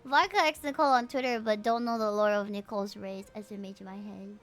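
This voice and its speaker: A woman's voice: mid-range voice, steady tone, quick pace, no echo. A woman livestreaming a video game in a casual, playful, gushing fangirl manner, chatting to her viewers. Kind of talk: casual livestream chat and reading game dialogue. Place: livestream over game audio.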